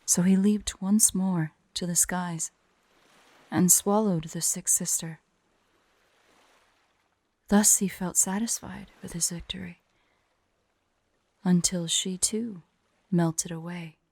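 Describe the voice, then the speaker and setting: Casting: woman to play a female dragon, vain and playfully imperious, unhurried, low and gravelly with creaky fry, for intimate ASMR fantasy roleplay. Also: fairly even intonation, warm tone, no room sound, heavily acted. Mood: storytelling, grave. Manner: slow, imperious, playful, breathy near-whispers at times. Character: haughty, teasing female dragon, vain and secretly fond.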